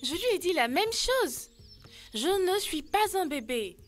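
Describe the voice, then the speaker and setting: A woman's voice: very high-pitched, very animated, unhurried, soft background music, full character voice. A woman voicing an earnest, easily worried little girl in a French-dubbed children's educational cartoon, with a pleading, childlike delivery.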